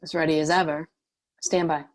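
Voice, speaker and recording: A woman playing a guarded but caring leader in a screenplay table read, measured and matter-of-fact. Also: sits low, little pitch movement, natural voice. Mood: focused.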